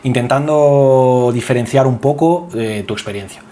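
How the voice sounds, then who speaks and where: A man's voice: mid-range voice, moderate pace. A man with an accent from Spain, interviewing a guest in casual, slangy, bantering podcast talk. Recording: clear steady background noise.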